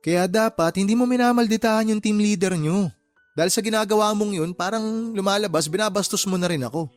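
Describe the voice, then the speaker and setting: Actor as a high-voiced, stern Filipino uncle boss. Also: male voice, brisk, dry recording, soft background music, natural voice. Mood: stern, scolding. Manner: firm, lecturing. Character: stern, fair-minded uncle and shop boss, exasperated but principled. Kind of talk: Tagalog radio drama acting.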